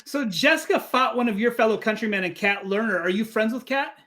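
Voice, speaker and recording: A man's voice: sits high, fairly quick, sharp tone. A man speaking as an interviewer, asking questions in a casual, conversational style.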